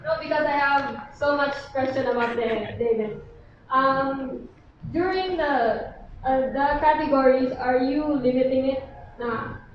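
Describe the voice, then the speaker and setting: A high-voiced woman reporter asks halting questions, mixing in a few Filipino words. She speaks slowly. The sound is distant and echoing, muffled by the hall. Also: slight background noise. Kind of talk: asking questions at a press conference.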